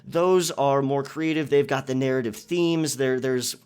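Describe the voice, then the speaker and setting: A man's voice: medium pitch, measured pace, a little mellow, clear, resonant tone. A man talking casually and reflectively as a channel creator, answering listener questions and explaining his thinking. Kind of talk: casual Q&A monologue.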